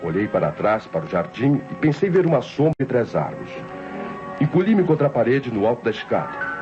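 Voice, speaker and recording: An actor playing a tense, brooding nephew confessing a planned murder in a suspenseful old Brazilian radio mystery, speaking formally and deliberately. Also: male voice, medium pitch, big pitch swings, natural voice. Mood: tense, stealthy. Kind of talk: 1960s radio mystery drama narration. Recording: dull old radio recording, loud music behind.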